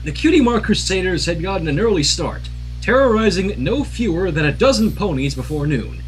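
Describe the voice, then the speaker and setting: A man's voice: high-pitched, very animated, a little fast, faint music behind, poor audio. A man reading fan fiction aloud as a narrator, with casual asides.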